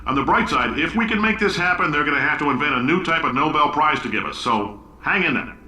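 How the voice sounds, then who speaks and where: A man's voice: a little high, animated, quick pace, sharp tone. An actor playing a brash, cocky, middle-aged American science company boss in a video game, delivering blunt announcements like a salesman. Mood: breezy, reckless. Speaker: company founder and CEO. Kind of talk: recorded facility announcements, salesman-like speech. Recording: echoey PA announcement, distant, faint noise.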